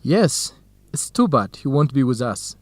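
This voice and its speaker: An actor playing an earnest, modest young Ethiopian research partner and interpreter in an educational radio drama. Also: male voice, mid-range voice, very animated, measured pace, dry recording. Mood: friendly, earnest.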